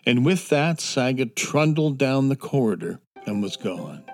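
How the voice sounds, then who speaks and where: A man narrating a children's fantasy audio drama in an expressive storytelling manner. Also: medium pitch. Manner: slow, expressive.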